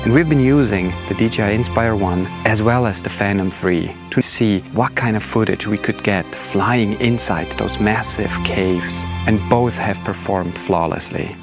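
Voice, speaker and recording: A middle-aged man leading a drone team, narrating an expedition in a steady, matter-of-fact way. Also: fairly low pitch, normal speed, few pauses. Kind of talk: documentary narration. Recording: music bed under voice.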